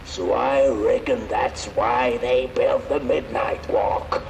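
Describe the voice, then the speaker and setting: An actor voicing a tiny, timid folk creature who narrates a wistful storybook tale in a fantasy game. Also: male voice, mid-range voice, fairly even intonation, slow pace, vocal fry, heavy background noise. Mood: wistful, wondering. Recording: loud music behind the voice.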